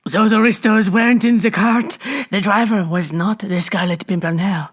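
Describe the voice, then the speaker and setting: A woman voicing a boastful, gruff male gate guard of the French Revolution in a storybook reading. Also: medium pitch, steady tone, moderate pace, lightly acted. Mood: amused, boastful. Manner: gruff, blustering.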